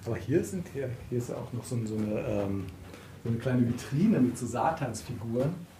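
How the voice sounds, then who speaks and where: A man with a very husky voice, commenting casually and knowledgeably, with some hesitation and tags seeking agreement. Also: medium pitch, expressive, normal speed, dark tone, slight background noise. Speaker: author, podcast guest. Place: indoors in a museum.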